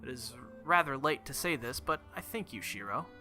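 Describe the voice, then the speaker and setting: A man's voice: medium pitch, expressive, moderate pace, pushed and bright, faint music behind. Man voicing an earnest, formal young female knight. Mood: relieved, grateful. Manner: formal, stiff, uncontracted speech.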